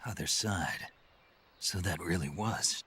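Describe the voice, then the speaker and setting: An actor playing a gruff, weary middle-aged male soldier in a video game, speaking tersely in a gravelly, husky voice. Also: slow, sharp tone, slight background noise, heavily acted. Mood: grave, curious. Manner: terse, low-key, questioning.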